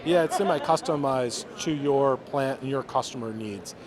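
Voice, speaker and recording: A man's voice: mid-range voice, normal speed. Salesy man with crowd chatter behind. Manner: salesy, explanatory. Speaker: software vendor guest. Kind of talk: product pitch. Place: trade show floor.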